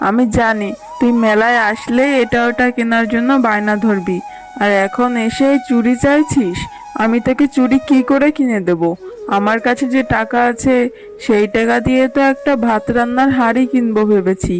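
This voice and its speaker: Woman as cartoon fox mother, fairly high voice, scolding, indulgent, practical, music underneath. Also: steady tone, moderate pace, lightly acted. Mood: scolding, relenting. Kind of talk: children's cartoon dialogue. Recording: music behind the voice.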